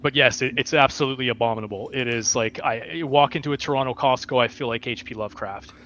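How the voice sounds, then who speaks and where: A man's voice: mid-range voice, fairly even intonation, fast talker, pushed and bright. Joking man on a dull lo-fi mic. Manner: mock-scholarly, joking. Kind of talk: casual livestream chat. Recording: dull lo-fi mic with faint hiss.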